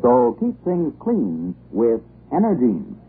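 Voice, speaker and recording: Lively, very husky man, vintage radio ad. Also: medium pitch, slow. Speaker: commercial announcer. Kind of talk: 1940s radio commercial. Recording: muffled old radio broadcast.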